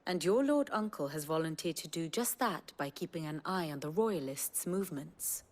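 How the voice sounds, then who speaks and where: A woman playing a composed, wry scholarly strategist in a video game, speaking in a measured, eloquent, theatrical way. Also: deep voice, lively intonation, a little mellow. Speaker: strategist and lorekeeper. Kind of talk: dramatic video game acting, lecturing. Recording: music behind the voice.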